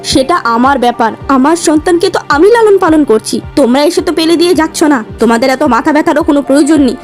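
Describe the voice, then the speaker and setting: A woman voicing a sharp-tongued, worrying fisherman's wife in a fairy-tale cartoon, exclamatory and quick to scold. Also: sits high, lively intonation, soft background music. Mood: angry, defiant.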